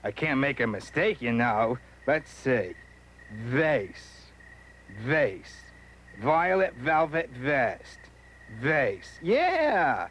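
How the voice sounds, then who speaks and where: A man's voice: mid-range voice, sing-song, fairly bright tone, a little hiss or hum, heavily acted, poor audio. An actor playing a boastful but cautious villainous vandal with a folksy wise-guy manner in a children's educational show. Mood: careful, friendly. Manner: folksy streetwise wise guy, drawn-out phrasing.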